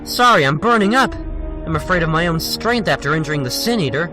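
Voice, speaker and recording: An actor playing a young, hot-headed, anguished superhero in an animated comic, speaking earnestly and emotionally. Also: male voice, a little high, fairly quick, music in the background, lightly acted. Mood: troubled.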